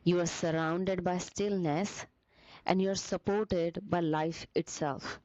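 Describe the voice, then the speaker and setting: A woman leading a guided meditation as a teacher, with gentle, soothing, deliberate phrasing broken by short pauses. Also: fairly low pitch, fairly even intonation, dry recording, highs cut off.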